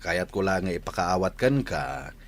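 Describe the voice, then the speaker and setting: A man's voice: low voice, animated, moderate pace. A man playing a stern, resentful husband in an Ilocano radio drama, scolding, with an Ilocano accent. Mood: irritated.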